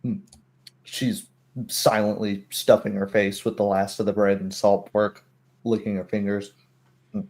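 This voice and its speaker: A man's voice: fairly low pitch, level tone, a little slow, a little mellow. A man narrating a tabletop roleplaying game as its game master.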